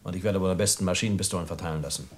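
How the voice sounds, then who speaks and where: A man's voice: low voice, steady tone, racing, natural voice. A man playing a brusque, impatient police inspector in a 1960s German radio play, curt and commanding. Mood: urgent, commanding.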